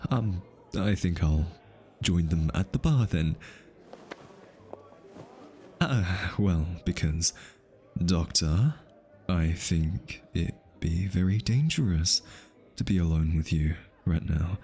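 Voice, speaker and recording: Casting: male actor as a smitten, stammering assassin for ASMR audio drama; low register, unhurried delivery, nervous over-explainer. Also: animated, mellow, heavily acted. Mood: flustered, then smitten, wary. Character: easily flustered, lovestruck male hitman, awkward and analytical. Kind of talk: intimate ASMR audio roleplay.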